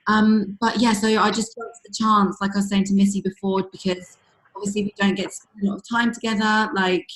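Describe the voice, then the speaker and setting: A woman with a husky, fading voice, coaching her sales team on a call in a chatty way full of fillers. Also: mid-range voice, level tone, a little fast. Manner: chatty, many fillers like "um" and "you know". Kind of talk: casual motivational coaching talk. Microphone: video call. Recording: echoey room over a video call.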